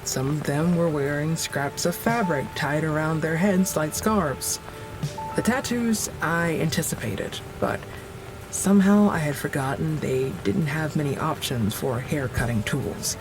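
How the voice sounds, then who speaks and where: A weary, observant field operative voiced by an actor gives an audio drama account of a rescue. The phrasing is measured and halting, at a medium pitch. Music and vehicle rumble run clearly behind the voice. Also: natural voice, poor audio. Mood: sober, observant. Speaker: narrator and field worker character. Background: music, vehicle sounds.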